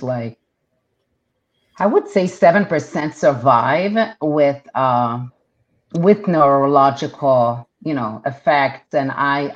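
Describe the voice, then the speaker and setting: A middle-aged woman from Israel with Hebrew as her first language talks in a very low voice. She chats loosely, full of "um" and restarts. The sound is dull and lo-fi. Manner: chatty, many fillers and restarts. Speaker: realtor guest. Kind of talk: casual interview talk.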